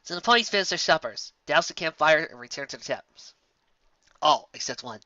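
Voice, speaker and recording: A woman narrates a story with expressive, swooping delivery. Her voice is bright and forceful, on a dry, somewhat lo-fi recording. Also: low voice, a little fast.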